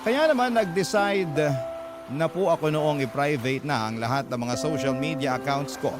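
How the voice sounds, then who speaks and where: A man's voice: fairly high pitch, moderate pace. A man reading a listener's letter dramatically as a radio storyteller. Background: loud music.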